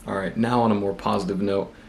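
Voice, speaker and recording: A young man with a cold, congested, chatting casually and rambling in a relaxed, self-deprecating way as a book vlogger. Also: lowish voice, fast, gravelly, slight background noise. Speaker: booktube vlogger. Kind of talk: casual vlog chat.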